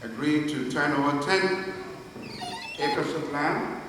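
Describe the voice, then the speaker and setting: Older guy in his 60s, really slow deliberate speech, echoey hall from far off. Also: on the high side, very noisy. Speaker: retiring university president. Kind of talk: formal acceptance speech.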